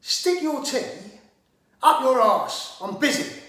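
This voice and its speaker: A man's voice: extremely high pitch, expressive, slow pace, bright, forceful tone, heavily acted. A man performing a theatrical monologue as a hot-tempered, swaggering brawler with mock-grand, sweary speech, in an echoey room. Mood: indignant, angry. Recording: echoey room, distant microphone.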